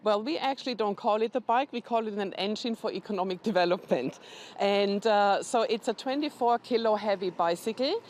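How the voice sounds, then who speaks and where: A woman representing a charity, a guest in an interview, explaining the work in a steady, factual manner. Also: mid-range voice, brisk, slight background noise. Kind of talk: informative interview answers.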